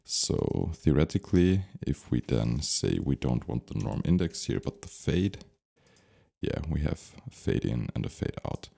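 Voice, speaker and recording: A man giving an unscripted software tutorial, thinking aloud step by step as he instructs. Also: a bass voice, sing-song, a little slow, vocal fry.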